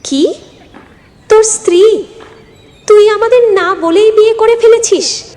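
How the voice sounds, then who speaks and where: With long reverb around it, a woman plays a tender village mother in a moral story. Her high voice is anxious and plaintive, drawing words out slowly. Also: a little mellow. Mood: shocked. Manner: emotional, drawn-out, plaintive. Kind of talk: dramatized moral story voice acting.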